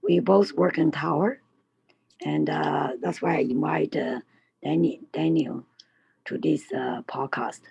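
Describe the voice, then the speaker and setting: Slow, gravelly-voiced woman host. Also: sits low, a little mellow, muffled recording. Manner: halting, repeats herself, laughs. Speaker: podcast host. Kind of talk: casual video call chat.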